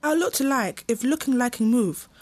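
A woman's voice: fairly high pitch, animated, normal speed, breathy edge, no echo. A woman playing a dutiful, demure thirteen-year-old girl in a student Shakespeare audio play.

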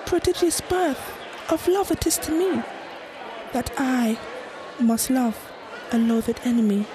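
A woman's voice: high-pitched, expressive, takes it slowly, crowd noise, background noise. A young woman acting a passionate, anxious girl in love, delivering Shakespearean verse earnestly in an audio play. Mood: stricken. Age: young adult. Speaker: student actor.

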